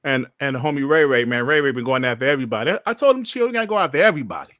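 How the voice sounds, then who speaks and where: A man from Detroit hosting a casual podcast livestream, animated and joking as he reads out chat messages. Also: medium pitch, very fast, dry recording, clean studio sound. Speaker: podcast host.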